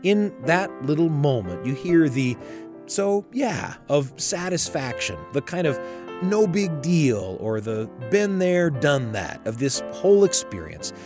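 A man hosting a radio storytelling show, narrating in a reflective, conversational way with deliberate phrasing. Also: on the high side, very animated, music in the background.

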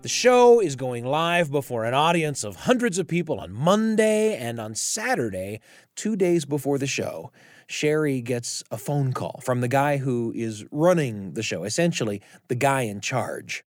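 A man hosting and narrating a radio storytelling show, with dramatic, deliberate phrasing. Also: medium pitch, very animated, no room sound, studio quality. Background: guitar music.

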